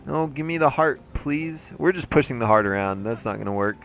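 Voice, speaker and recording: Male let's play host, mid-pitched, congested and playful, over soft game music. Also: lively intonation, fairly quick, gravelly. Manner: playful, chatty, jokey asides. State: congested, throat a little off. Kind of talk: casual gameplay commentary.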